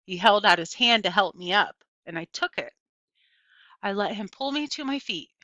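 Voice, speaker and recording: A woman's voice: mid-range voice, animated, moderate pace, pushed and bright, dry recording. A woman reading a first-person children's novel aloud as scripted narration. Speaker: audiobook reader. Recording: dull, lo-fi, dry close recording.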